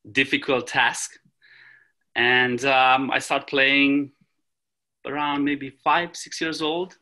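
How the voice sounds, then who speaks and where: A man's voice: medium pitch, little pitch movement, bright, forceful tone. A man in his forties from Romania with a Romanian accent, a tennis coach talking casually on a video call, full of ums and restarts. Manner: halting, many ums and restarts. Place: small room at home. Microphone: video call, laptop camera.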